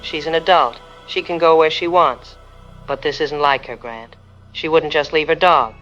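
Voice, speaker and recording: A man's voice: fairly high pitch, normal speed, fairly bright tone, lo-fi sound, soft background music, natural voice. A man playing a worried, polite caller to a radio phone-in. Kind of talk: radio drama phone-in acting.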